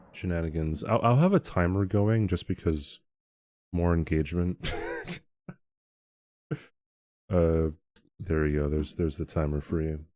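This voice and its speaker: A young man streaming a game who chats casually with his audience, often chuckling and stopping to restart his sentences. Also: sits low. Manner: chuckling, halting and relaxed.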